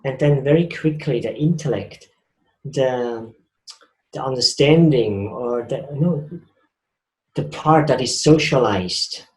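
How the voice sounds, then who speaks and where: A man's voice: mid-range voice, darkish tone, reverberant, rough recording. A man with a Swiss accent giving a reflective spiritual talk, earnest and repeating phrases for emphasis. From Switzerland. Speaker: spiritual speaker.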